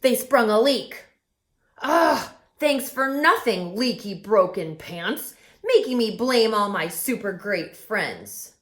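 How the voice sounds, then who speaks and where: A woman's voice: fairly high pitch, expressive, slow pace, a bit bright. A woman voicing an indignant male bear who accuses his friends in a huffy, dramatic way. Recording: roomy echo.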